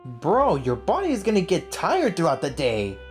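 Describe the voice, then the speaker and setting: Woman streamer, lowish voice, slangy, reactive, lively intonation, game music behind. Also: moderate pace. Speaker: let's play streamer. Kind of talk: casual gameplay commentary.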